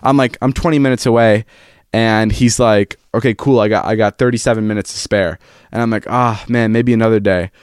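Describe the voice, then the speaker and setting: A man's voice: fairly low pitch, fairly even intonation, fairly quick, smooth, dry recording, clean studio sound. Joking young man co-hosting a podcast. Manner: joking, storytelling, frequent restarts. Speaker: podcast co-host. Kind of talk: casual podcast chat.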